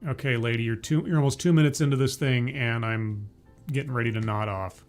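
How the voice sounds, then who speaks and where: A man streaming live, mocking a talk he is watching with deadpan, stammering asides and greeting his chat. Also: medium pitch, fairly quick, soft background music. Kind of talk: sarcastic live commentary.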